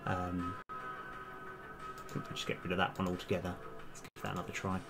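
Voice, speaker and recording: A man's voice: deep voice, completely flat delivery, normal speed, gravelly, over music. A man doing casual live-stream commentary as a game developer, thinking aloud in a hesitant, self-correcting way.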